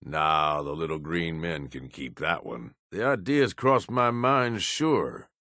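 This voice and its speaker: An actor voicing a tough, cynical middle-aged American raider in a video game, gruff and folksy in a plain-spoken way. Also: male voice, a bass voice, expressive, takes it slowly, pushed and bright, no echo, studio quality, full character voice. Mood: measured. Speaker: companion character in a video game. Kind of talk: acted video game dialogue.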